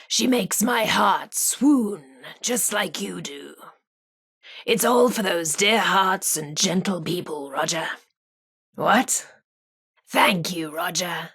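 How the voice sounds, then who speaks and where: Casting: chirpy, coy British female radio co-host, vintage patter, breathy and slightly creaky, unhurried game role. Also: lowish voice, fairly bright tone, heavily acted. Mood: affectionate, playful.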